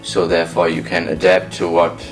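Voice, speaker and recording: A man speaks English in a very deep voice with a gravelly edge. He talks thoughtfully and often restarts his phrases, with faint music behind him. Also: monotone, a little fast, recorded from a distance, rough recording. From Germany. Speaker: English teacher. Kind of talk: recruitment video interview.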